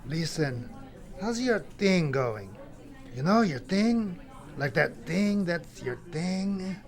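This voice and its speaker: A man's voice: high voice, sing-song, slow, heavily acted. A man playing Death as a brash, glib, freeloading cousin who rambles in bro talk in an audio comedy drama. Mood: glib, animated.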